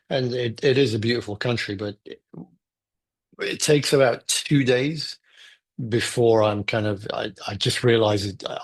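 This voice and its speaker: A middle-aged man with an English accent, speaking as a guest in a relaxed interview, thoughtful and prone to restarts. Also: fairly low pitch, breathy edge. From United Kingdom. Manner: thoughtful, rambling with frequent restarts. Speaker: guest photographer. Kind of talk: casual interview conversation.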